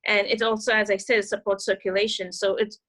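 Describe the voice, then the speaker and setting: A woman's voice: mid-range voice, little pitch movement, a little fast, fairly bright tone. A woman presenting in a hesitant way, using lots of uh and you know. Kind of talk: casual group presentation.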